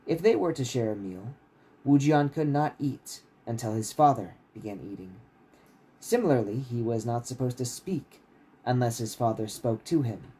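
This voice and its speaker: A man's voice: medium pitch, expressive, normal speed, warm tone, breathy edge, poor audio. A man who reads his own fantasy writing aloud like a bedtime story on a casual livestream. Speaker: author reading own novel aloud.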